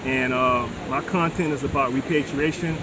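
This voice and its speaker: A man's voice: mid-range voice, fairly even intonation, moderate pace. A middle-aged American man talking earnestly and casually as an interview guest, often adding conversational tags. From United States. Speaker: vlogger and retired military veteran, interview guest. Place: open-air beachside deck. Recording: loud wind and ambient outdoor noise.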